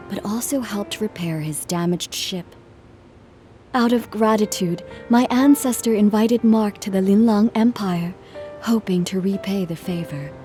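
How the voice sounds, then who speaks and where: An actress playing a gentle, earnest traveling musician in a video game, speaking politely and in measured phrases. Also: female voice, mid-range voice, close to an everyday voice. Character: gentle, earnest female traveling musician, composed but careworn. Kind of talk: video game cutscene acting.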